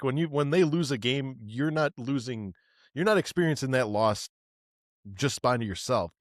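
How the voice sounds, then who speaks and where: A man's voice: mid-range voice, dry recording, clean studio sound. A man hosting a podcast in casual chat, stammering and repeating words as he builds his questions. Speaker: podcast host.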